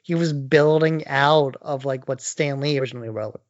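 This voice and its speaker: A man's voice: mid-range voice, moderate pace, no echo, muffled recording. A man co-hosting a podcast in casual, opinionated chat, blunt and prone to swearing. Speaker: podcast co-host.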